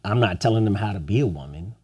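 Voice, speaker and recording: Low, mellow-voiced man podcasting. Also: animated, fast, slightly rough. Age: young adult. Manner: earnest, preachy, rhetorical questions. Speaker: podcast co-host. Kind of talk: casual podcast conversation.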